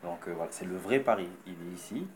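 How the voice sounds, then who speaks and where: A man, an investor behind a concept store, answering interview questions in quick, repetitive, stumbling talk. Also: low-pitched, background noise.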